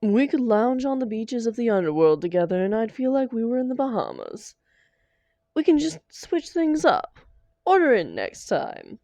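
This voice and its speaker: Woman playing theatrical, mellow supervillain lover, swooping delivery. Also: on the high side, huge pitch swings, normal speed, no echo. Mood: coaxing, affectionate. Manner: theatrical, affectionate pet names, exasperated protests. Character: theatrical female supervillain, doting, grumbling lover. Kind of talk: scripted audio roleplay addressed to the listener.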